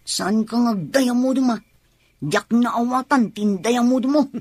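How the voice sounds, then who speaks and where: A woman's voice: on the high side, unhurried, a little mellow, husky. A woman playing an irritable, curt pregnant young wife in an Ilocano comedy radio drama, speaking with an Ilocano accent. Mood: irritated. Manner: grumbling, curt, complaining.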